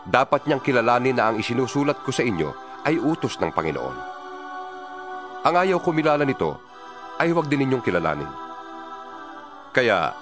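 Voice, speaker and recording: Man reading scripture with lively pitch. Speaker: Bible reader. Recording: music bed under the voice.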